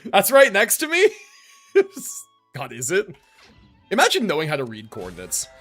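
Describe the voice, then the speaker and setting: Animated, high-pitched American male streamer. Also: moderate pace, faint music behind. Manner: animated, slangy, jokey. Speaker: live streamer. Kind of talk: casual live game stream chat.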